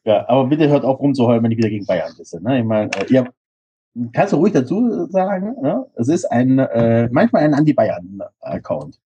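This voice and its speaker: A man's voice: mid-range voice, big pitch swings, moderate pace, mellow, husky, highs cut off. A man from Stuttgart, a guest on a podcast, chatting casually and jokingly about football and laughing often.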